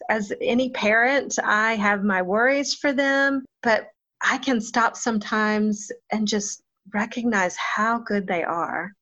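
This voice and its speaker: A woman's voice: fairly high pitch, fairly bright tone, dull audio. A woman pastor giving a thoughtful, reflective interview answer, often laughing at herself.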